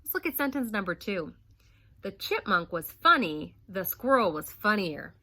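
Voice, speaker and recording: A woman's voice: medium pitch, sing-song, normal speed, bright, forceful tone. A woman teacher reading a picture book aloud to young children, stopping to ask the class questions. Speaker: first grade teacher.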